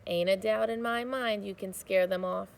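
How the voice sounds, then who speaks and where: A woman voicing a gruff, bossy old countrywoman who speaks in rough rural grammar. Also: mid-range voice, steady tone, moderate pace, a little hiss or hum, lightly acted. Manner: rough rural speech, commanding.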